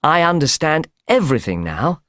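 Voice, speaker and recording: A man's voice: on the high side, sing-song, normal speed, fairly bright tone, no room sound, studio quality, pitched above the performer's own voice. A man voicing an earnest male doctor in an audiobook, speaking formally and with emphasis. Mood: calm, courteous. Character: earnest male doctor recounting a troubling case. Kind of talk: audiobook character voice.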